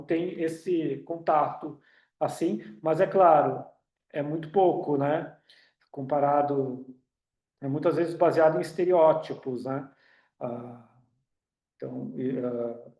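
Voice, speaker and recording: A man's voice: a little high, warm tone, roomy sound. A Brazilian man speaking on an online video call, with a Brazilian Portuguese accent, giving a talk full of fillers and restarts. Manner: hesitant, many fillers and restarts. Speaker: union director speaking on a webinar. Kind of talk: online talk with audience questions. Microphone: computer mic on a video call.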